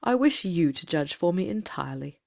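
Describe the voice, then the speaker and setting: A woman's voice: lowish voice, animated, normal speed, vocal fry. A woman playing a troubled, earnest middle-aged male Victorian doctor in an audiobook drama, formal and fervent. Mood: uneasy. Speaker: audiobook cast reader. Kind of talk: dramatic reading of Victorian fiction.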